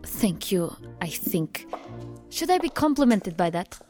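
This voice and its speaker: A reserved, observant special agent in a sci-fi audio drama. Her voice sits at a medium pitch. It echoes in a long hall with music underneath. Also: very animated, measured pace, a little mellow. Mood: cool, wary. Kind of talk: science fiction audio drama acting.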